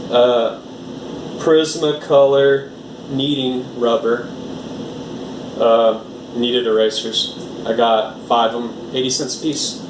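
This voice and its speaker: American man, relaxed rambly vlogger, off-mic in a reverberant room, steady AC hum behind. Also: mid-range voice, recorded from a distance. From United States. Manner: rambling, filler-heavy, easygoing. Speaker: art vlogger. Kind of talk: casual unboxing chat.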